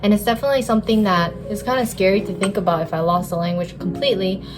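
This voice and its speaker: A woman's voice: medium pitch, steady tone, rapid-fire. Candid, chatty Japanese-born woman vlogger. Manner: candid, chatty, uses "like" often. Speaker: vlogger, handywoman. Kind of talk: casual reflective monologue. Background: background music.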